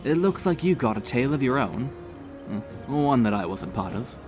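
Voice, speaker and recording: A man's voice: mid-range voice, sing-song, normal speed, music in the background, pitched above the performer's own voice, close to an everyday voice. A man voicing a loyal, good-humored male warrior speaking earnestly to an old friend.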